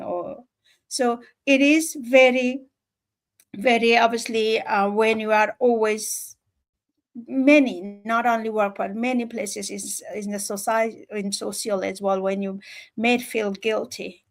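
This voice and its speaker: Woman, Nepali accent, slightly high voice, earnest, emphatic, halting, repeats phrases, informal motivational livestream talk. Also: fairly even intonation. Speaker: livestream speaker.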